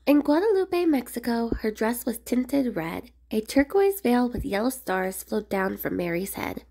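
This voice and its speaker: A woman reading a rhyming children's picture book aloud in a measured, expressive sing-song way. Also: fairly high pitch, vocal fry. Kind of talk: storybook read-aloud narration of rhyming verse.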